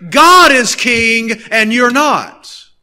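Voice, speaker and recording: High-pitched man preaching emphatically. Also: lively intonation, bright, forceful tone, clear, resonant tone. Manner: emphatic, earnest preaching with deliberate pauses and repetition. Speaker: pastor. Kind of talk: sermon. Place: nearly empty church sanctuary, behind a pulpit.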